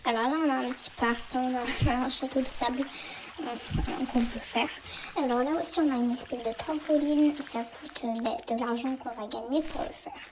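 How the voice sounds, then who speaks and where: Young french girl, hoarse and kinda whispery, rambling game tour, highish voice, hiss in the background. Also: fairly even intonation, normal speed. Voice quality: often half-whispered, childlike voice. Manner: rambling, hesitant, many fillers and restarts. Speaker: game channel host. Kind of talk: casual game tour commentary.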